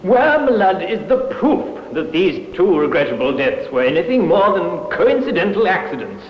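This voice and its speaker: An actor playing an eloquent defense counsel arguing rhetorically and insistently. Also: male voice, high-pitched, big pitch swings, normal speed. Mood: argumentative. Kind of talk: 1950s radio drama acting. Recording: dull old broadcast in an echoey hall.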